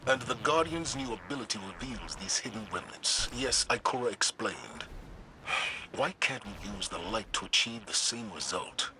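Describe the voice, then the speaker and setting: A wary military commander in a video game. His low, bright-edged voice is forceful and clipped, moving expressively through guarded, firm statements. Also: lively intonation, normal speed, a little hiss or hum, low-quality recording. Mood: sceptical. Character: stern, wary male military commander of mature years. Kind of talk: scripted video game dialogue.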